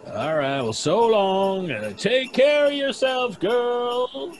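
A man's voice: high voice, lively intonation, slow. A man playing a friendly, folksy rural local in old-time radio drama style. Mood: neighbourly.

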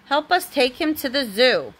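A woman voicing a bold, quick-thinking young boy in a storybook, confidently calling out. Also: high voice, sing-song, a bit bright, slightly rough, heavily acted.

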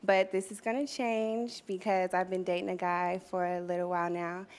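A woman's voice: on the low side, little pitch movement, moderate pace, darkish tone. Young woman asking an advice question. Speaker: studio audience member. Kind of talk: asking a question on a talk show. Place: TV studio audience. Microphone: handheld mic.

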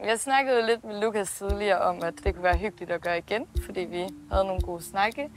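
A woman's voice: medium pitch, background noise. A woman, a reality show contestant, talking in a chatty, gushing way in casual conversation.

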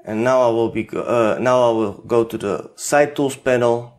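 A man's voice: medium pitch, moderate pace, a little mellow. Dutch-accented man, hesitant tutorial talk. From Netherlands. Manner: hesitant, many filler sounds and restarts. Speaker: tutorial host. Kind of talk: unscripted screen-recorded software tutorial.

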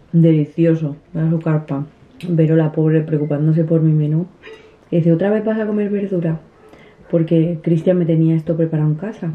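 A woman in her thirties, a family vlogger, chatting casually to the camera while eating at a table at home. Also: sits low. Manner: chatty, restarts often, soft. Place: small room at a dinner table, indoors. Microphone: camera on the table at close range. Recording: tinny, phone-like sound.

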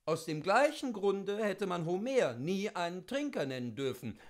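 A man reading classic literature aloud in an expressive, theatrical way, with measured and carefully articulated phrasing. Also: high voice.

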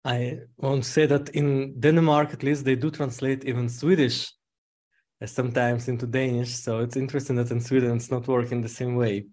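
A man hosting a podcast, asking curious, measured interview questions. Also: medium pitch, flat delivery, darkish tone. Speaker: podcast host.

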